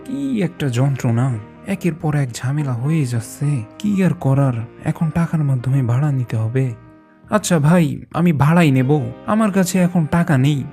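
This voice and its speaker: A man's voice: fairly high pitch, moderate pace, mellow, faint music behind, lightly acted. A man voicing a greedy, self-pitying woodcutter in a cartoon folk tale. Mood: self-pitying, worried. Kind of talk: animated folk-tale dialogue.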